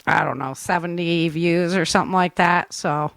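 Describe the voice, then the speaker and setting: A woman's voice: on the low side, fairly even intonation, normal speed, vocal fry, a bit bright, no echo, clean studio sound. A woman hosting a podcast in casual, upbeat and informal chat. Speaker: podcast host.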